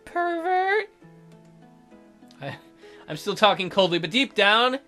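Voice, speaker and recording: A man's voice: sits high, lively intonation, normal speed. A man hosting a let's play, reading visual novel narration aloud with casual asides. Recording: soft music behind the voice.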